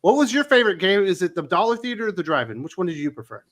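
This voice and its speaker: A man's voice: high voice, very animated, fairly bright tone. A man hosting a casual comic book talk show, teasing his guest and laughing easily. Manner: teasing, jokey, quick to laugh. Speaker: podcast host.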